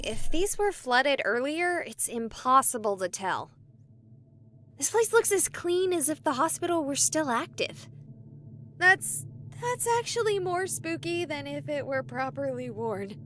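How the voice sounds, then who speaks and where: High, animated young ghost-hunting streamer. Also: female voice, slight background noise, heavily acted. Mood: wry, spooked. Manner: theatrical, wisecracking. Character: chatty, jokey young woman ghost-hunting on a livestream. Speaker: live-streaming ghost hunter. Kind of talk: dramatic visual novel voice acting.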